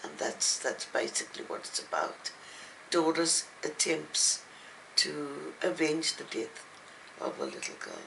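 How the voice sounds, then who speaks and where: Older woman, slow halting delivery, gravelly creak, reflective interview talk, faint background noise. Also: sits low, big pitch swings, pushed and bright, low-quality recording. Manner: halting, thoughtful, with long pauses. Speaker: novelist and playwright being interviewed. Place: at home, indoors.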